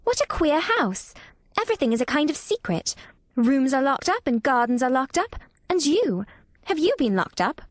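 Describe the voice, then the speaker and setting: A woman's voice: sits high, very animated, moderate pace, no echo, studio quality, voice pushed up. A woman voicing an inquisitive, forthright young girl with a blunt, questioning manner in a storybook reading. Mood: puzzled.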